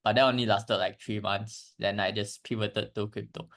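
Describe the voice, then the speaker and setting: A twenty-two-year-old man from Malaysia chatting casually as a podcast guest, halting and repeating himself. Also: fairly low pitch, flat delivery, a bit bright. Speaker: podcast guest, crypto trader. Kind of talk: casual chat.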